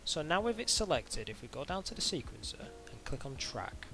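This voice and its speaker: A man narrating an informal software tutorial in a hesitant, halting way, as if thinking aloud. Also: on the high side, big pitch swings, music in the background. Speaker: tutorial instructor.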